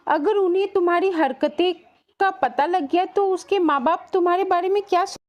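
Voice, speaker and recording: A woman's voice: very high voice, normal speed, lo-fi sound. A woman voicing a stern, exasperated mother of a grown daughter in a cartoon story. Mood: scolding. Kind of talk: Hindi cartoon story acting.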